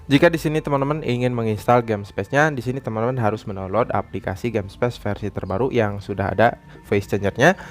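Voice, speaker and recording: A man hosting a phone app tutorial, explaining step by step in repetitive phrasing. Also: mid-range voice. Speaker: tutorial channel host. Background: background music.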